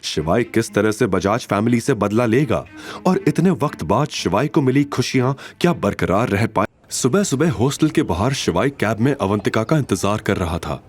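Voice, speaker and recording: A man's voice: on the low side, animated, moderate pace. A man narrating an audio drama in a dramatic, scripted storytelling style.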